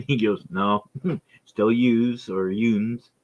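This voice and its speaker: A man's voice: lowish voice, drawn-out words, highs cut off. A man hosting a podcast in casual chat, telling stories with plenty of laughs and swearing. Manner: profane, laughing storyteller. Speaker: podcast host.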